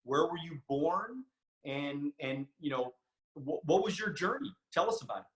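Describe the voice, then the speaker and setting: A man's voice: on the high side, lively intonation, normal speed, a bit bright, reverberant. A man hosting an interview, asking casual, probing questions with stumbling starts. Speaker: host. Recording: dull, dry, a bit off-mic.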